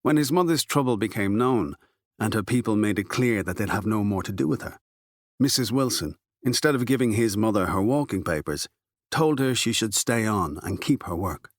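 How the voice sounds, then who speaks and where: A man's voice: lowish voice, lively intonation, moderate pace, no room sound, studio quality. A man reading literary fiction as an audiobook narrator.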